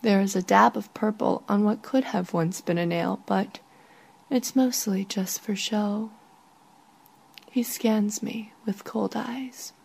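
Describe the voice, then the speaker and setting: Female author-narrator for literary fiction, breathy near-whisper with vocal fry, unhurried delivery. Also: medium pitch, steady tone, darkish tone. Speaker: author reading own short story. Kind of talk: literary first-person story narration.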